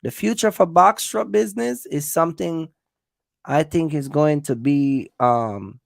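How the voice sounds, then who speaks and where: A man's voice: a little high, a little slow, gravelly, no room sound, studio quality. A man hosting a casual livestream, giving business advice straight to viewers in an emphatic, repetitive way. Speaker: host of a trucking business livestream.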